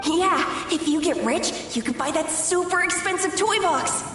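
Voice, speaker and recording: A woman's voice: very high-pitched, moderate pace, over music. A woman voicing an eager, unselfconscious little child in a video game, with a childlike voice. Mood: slightly defensive. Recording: echoey distant flashback reverb.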